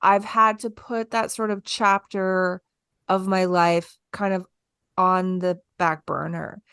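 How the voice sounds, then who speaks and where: A woman's voice: lowish voice, flat delivery, slow pace, a bit bright, smooth, dry recording, clean studio sound. A woman in her thirties co-hosting a podcast, talking in a chatty, enthusiastic and rambling way. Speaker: podcast co-host. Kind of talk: casual conversation.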